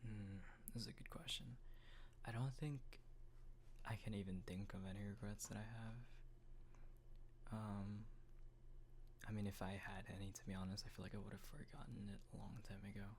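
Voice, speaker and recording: Gravelly deep-voiced man, half-whispering. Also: steady tone, a little hiss or hum. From Texas, US. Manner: soft, hesitant, many pauses and ums. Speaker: voice actor, channel host. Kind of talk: soft semi-whispered Q&A.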